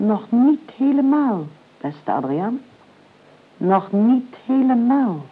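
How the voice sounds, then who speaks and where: A tinny old radio play recording features an imperious aunt. Her husky voice moves in lively swoops. She is emphatic, condescending and easily flustered. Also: fairly high pitch, takes it slowly, full character voice. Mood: measured.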